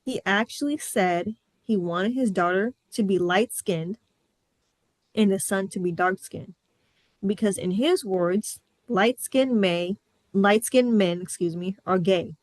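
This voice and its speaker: A woman's voice: medium pitch, slow, dry recording. A young adult woman from the US Midwest hosting a podcast, telling personal stories in a candid, sassy way. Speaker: podcast host. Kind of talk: casual podcast chat.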